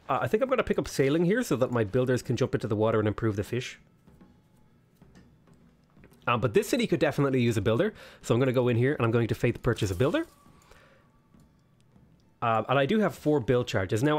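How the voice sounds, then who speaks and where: A man with a sniffly cold, casually commentating a strategy game while he thinks aloud, weighing choices with plenty of ums. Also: mid-range voice. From Ireland. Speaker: let's play host.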